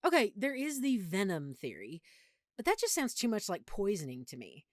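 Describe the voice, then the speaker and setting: Casting: actress as affectionate girlfriend with a vampire secret, intimate audio roleplay. Lively, swooping intonation, medium pitch, very dry close sound. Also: normal speed, no echo. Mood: playful, opinionated. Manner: chatty, playful, geeky explaining.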